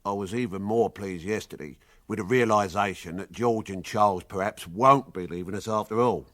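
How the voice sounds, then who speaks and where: A man's voice: lowish voice, moderate pace, fairly bright tone, no room sound, clean studio sound, natural voice. A man with a British accent playing a chummy, deferential jail worker in a radio comedy. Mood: chummy, sly. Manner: matey, deferential. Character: chummy, obliging male jail worker who quotes Shakespeare.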